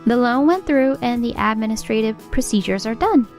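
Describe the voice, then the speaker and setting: Composed, modest young businesswoman for a manga dub, woman actor, with a fairly high, friendly voice. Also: expressive, fairly quick, a little mellow, soft background music. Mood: playful, pleased. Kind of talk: anime-style manga dub acting.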